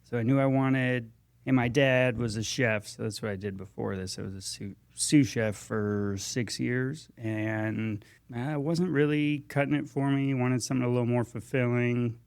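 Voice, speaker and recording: An American man, around his thirties, chatting casually as a podcast guest in a halting, self-correcting way. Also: medium pitch, fairly even intonation, creaky, clear, resonant tone. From Texas and Georgia, US. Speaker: guest paramedic.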